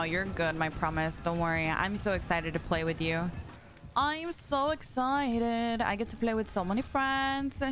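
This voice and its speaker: A tired young woman chatting casually on a live stream, greeting viewers by name with lots of "like" and "you know". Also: fairly low pitch. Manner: chatty, reads and greets chat, frequent likes. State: tired from staying up late. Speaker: livestreamer.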